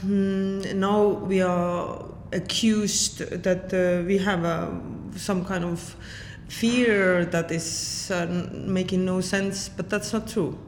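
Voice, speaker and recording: A woman in her forties from Estonia with an Estonian accent, answering interview questions as a saxophonist in a reflective, halting way with many fillers. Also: on the low side, fairly even intonation. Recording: long hall reverb, faint noise.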